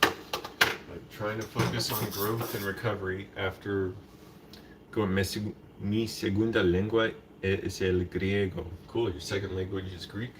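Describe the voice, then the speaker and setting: Low-voiced man rambling from a distant mic. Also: steady tone, a little slow. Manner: rambling, talks to himself while mixing a bowl, drops in Spanish words. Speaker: streamer. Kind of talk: casual live-stream chat while making food. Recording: distant mic with noticeable background noise.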